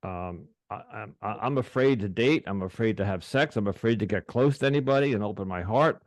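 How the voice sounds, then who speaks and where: A middle-aged man hosting a podcast, speaking haltingly with many restarts as he opens up about his past. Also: on the low side, dry recording, clean studio sound. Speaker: podcast host. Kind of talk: casual interview, personal disclosure.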